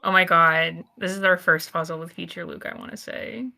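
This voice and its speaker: A woman's voice: lowish voice, level tone, moderate pace, vocal fry, sharp tone, faint music behind. A woman playing a game with friends, bantering casually with swearing and exclamatory mock outrage. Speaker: game player in group playthrough.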